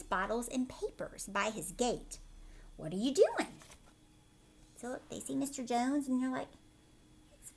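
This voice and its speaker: A woman's voice: on the high side, gravelly, bright, forceful tone, slightly rough. A woman teacher reading a picture book aloud to children, expressively, with chatty commentary and questions along the way. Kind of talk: storybook read-aloud with casual commentary for children.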